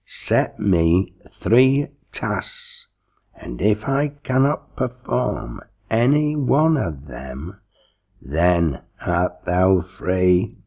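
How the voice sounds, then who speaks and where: Man putting on a slow, gravel-edged, menacing devil. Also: big pitch swings, darkish tone, full character voice. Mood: slow, sly. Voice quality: shrill, piercing, unpleasant. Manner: archaic, pompous, drawn-out taunting speech. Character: mocking, menacing male devil, impatient and gleeful. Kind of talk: storybook character voice.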